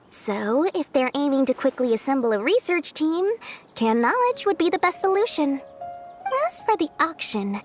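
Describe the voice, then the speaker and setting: High-pitched, shrewd young merchant woman. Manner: glib, theatrical salesperson patter. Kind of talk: video game voice acting.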